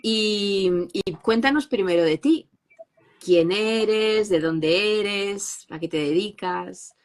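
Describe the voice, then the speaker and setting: A woman hosting an interview, welcoming her guest in a relaxed, hesitant way with fillers. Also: mid-range voice, slow. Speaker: host.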